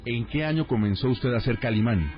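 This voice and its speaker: A man's voice: mid-range voice, a little fast, soft background music. A man with a Colombian accent hosting a live morning radio show. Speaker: radio host. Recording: tinny phone-like radio sound.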